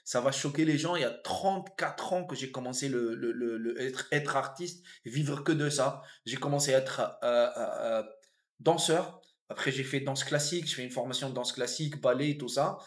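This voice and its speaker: A man in his fifties from Tunisia with a Tunisian accent, a comedian and actor telling his life story in a relaxed interview, thoughtful, with frequent restarts and fillers. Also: mid-range voice, moderate pace. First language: Arabic. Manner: reflective, repeats and restarts words, many fillers.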